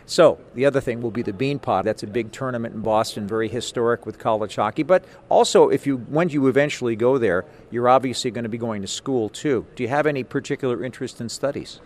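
Man, sports host, medium pitch, wordy encouraging questions, conversational, noticeable background noise. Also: quick pace, few pauses, darkish tone.

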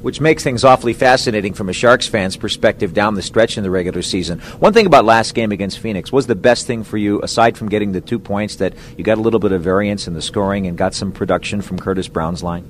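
A man hosting a radio sports show, with a smooth and upbeat announcer delivery. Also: on the low side, fast, hardly any pauses, a little hiss or hum. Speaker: radio host. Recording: music bed under voice.

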